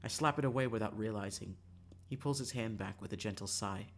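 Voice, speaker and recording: A man's voice: lowish voice, fairly quick, vocal fry, slight background noise. A man streaming a visual novel, reading the narration aloud in a dramatic storytelling style, with music behind his voice.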